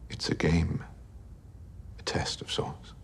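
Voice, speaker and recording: An actor playing a hesitant, cerebral wartime codebreaking genius in a film drama, speaking in a halting, precise, pedantic way. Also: male voice, in a whisper, natural voice. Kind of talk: period film drama dialogue.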